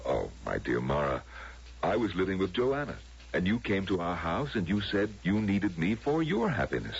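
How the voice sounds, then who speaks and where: An actor playing a calmly insistent, condescending husband in a vintage radio drama, with measured, hesitant coaxing. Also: male voice, sits low, vocal fry, slightly rough, full character voice. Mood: insistent, patronizing. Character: patronizing husband Willis, calmly insistent, soothing. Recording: dull vintage radio recording, faint hum.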